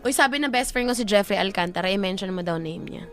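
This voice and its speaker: A woman's voice: medium pitch, animated, quick pace, a bit bright, soft background music. A Filipino woman co-hosting a live show, reading listener comments and giving advice in playful, chatty banter.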